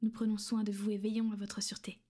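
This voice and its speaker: A woman playing a gentle but possessive vampire noblewoman, speaking softly and often in a whisper for an ASMR roleplay. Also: medium pitch, monotone, fast. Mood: calm, matter-of-fact. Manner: soft, soothing, often whispered.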